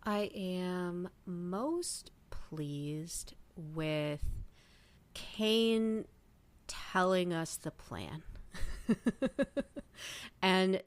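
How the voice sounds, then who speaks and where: Female podcast panelist, lowish and crisp, animated casual delivery, very dry sound. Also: very slow, long pauses, no room sound. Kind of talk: casual fan discussion.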